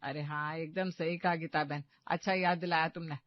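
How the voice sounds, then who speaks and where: A woman's voice: deep voice, fairly even intonation, moderate pace, dry recording, dull audio, voice pushed down, close to an everyday voice. A woman narrator voicing a gossipy, disdainful middle-aged mother-in-law in a cartoon, chummy and complaining. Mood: scornful agreement. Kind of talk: animated moral-story cartoon acting.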